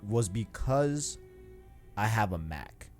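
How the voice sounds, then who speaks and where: A man's voice: fairly low pitch, lively intonation, slow pace, darkish tone, soft background music. A man hosting a podcast, chatting casually about video games in slangy speech and often repeating himself as he agrees. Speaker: podcast host.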